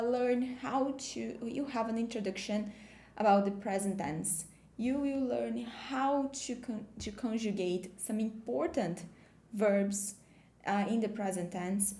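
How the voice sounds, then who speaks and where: A woman's voice: mid-range voice, warm tone, echoey room. A Brazilian woman speaking English with a Brazilian accent, teaching an online language class in an encouraging way, with fillers and restarts. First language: Portuguese.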